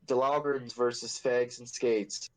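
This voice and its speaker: A man's voice: mid-range voice, steady tone, measured pace, low-quality recording. A young man hosting a casual podcast, telling a story in a rambling, profane, joking way. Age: young adult. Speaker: podcast host.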